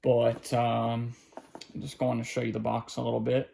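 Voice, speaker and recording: Gravelly-voiced man casually narrating an unboxing. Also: medium pitch, monotone, dark tone. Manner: halting, informal, thinking aloud as he handles things. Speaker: hobbyist collector presenting an unboxing. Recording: faint background noise, clicks of handling.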